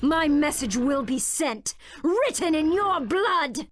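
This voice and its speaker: Imperious high-voiced dark witch. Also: lively intonation, slight background noise. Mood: menacing anger. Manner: commanding, deliberate. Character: imperious, menacing dark-side witch, vengeful and cold. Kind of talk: scripted video game acting.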